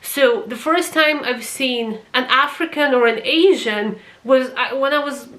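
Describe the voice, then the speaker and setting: A woman from Croatia speaks English as a second language in a casual vlog. She reviews a TV series with a bright, slightly high voice and gets passionate, swearing now and then for emphasis. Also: unhurried.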